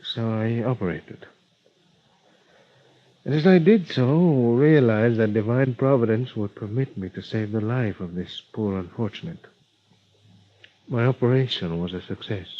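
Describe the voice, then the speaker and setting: A man's voice: fairly low pitch, natural voice. Man playing earnest Spanish-accented doctor, old radio drama. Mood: solemn, grieving. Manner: formal, halting, dramatic, deliberate emphasis. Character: earnest, grieving Spanish-speaking hospital doctor, a grown man. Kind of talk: 1940s-50s radio drama acting. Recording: dull vintage radio recording.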